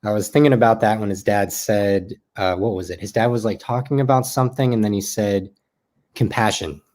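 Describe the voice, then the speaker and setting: Actor doing an awkward young friend catching up on a video call, hesitant, rambly, medium pitch. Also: a little fast, a little mellow, lightly acted. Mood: wistful, rambling. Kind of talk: naturalistic play dialogue over video call.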